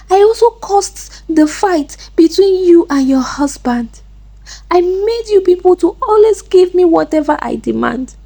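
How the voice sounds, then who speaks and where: A woman's voice: high voice, darkish tone, pitched above the performer's own voice, heavily acted. A woman voicing a guilty, frightened young house girl in a folktale, pleading and dramatic.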